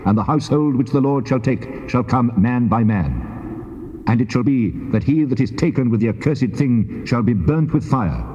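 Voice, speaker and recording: An actor playing God as a stern, commanding deity in a solemn dramatized scripture reading. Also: male voice, medium pitch, animated, moderate pace, mellow, lightly acted. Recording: cavernous reverb, dull old cassette tape.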